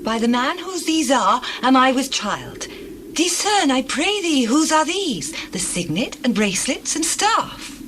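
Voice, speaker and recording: An actress playing a bold biblical woman in a theatrical, deliberate dramatized scripture reading. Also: high voice, very animated, a bit bright, full character voice. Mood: pleading. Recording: noisy older audio drama recording.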